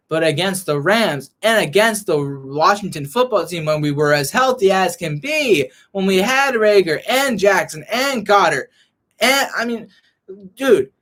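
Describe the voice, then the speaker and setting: A young man ranting as a frustrated sports fan vlogger, hoarse and losing his voice, reading and answering chat. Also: high-pitched, sharp tone. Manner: frustrated, heated rant.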